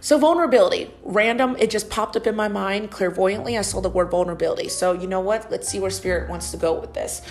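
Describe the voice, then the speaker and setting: A woman's voice: medium pitch, fast talker, few pauses. A woman in her thirties talks off the cuff on her own podcast, loose and chatty and sprinkled with swearing. Her nose is stuffed up, which gives her voice a slightly nasal edge. Faint music sits underneath. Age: 30s. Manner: rambling, chatty, frequent swearing and laughs. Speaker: podcast host and psychic medium. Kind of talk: casual solo podcast monologue.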